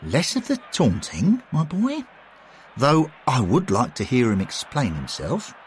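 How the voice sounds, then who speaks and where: Brief: man playing a blustering older chap, slow and scolding. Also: medium pitch, huge pitch swings, a little hiss or hum, voice pushed up. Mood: stern. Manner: scolding, commanding.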